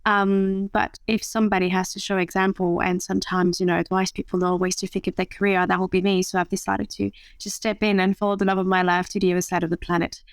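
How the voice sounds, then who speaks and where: A woman in her thirties speaks as a podcast guest, her voice flat in pitch and touched by gravelly fry. She answers thoughtfully, pausing on fillers and restarting phrases. The sound is close and dry. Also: dry recording. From France. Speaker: guest, matchmaker. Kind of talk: interview conversation.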